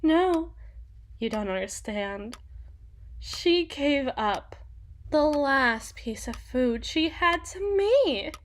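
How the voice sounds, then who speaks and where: A woman playing a sadistic, teasing female demon in an ASMR roleplay monologue, with theatrical, taunting and deliberate phrasing. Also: high-pitched, animated, full character voice. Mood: gleefully cruel.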